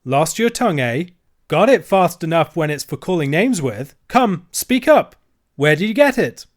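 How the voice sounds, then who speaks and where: A man voicing a lean, scornful male horse dealer who talks in a rough, bullying dialect. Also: sits high, big pitch swings, normal speed, pitched above the performer's own voice.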